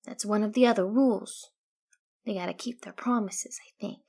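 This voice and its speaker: A woman voicing an odd female pony in a storybook, giving halting, cryptic warnings in simple, childlike phrasing. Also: mid-range voice, warm tone, husky. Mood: ominous, earnest.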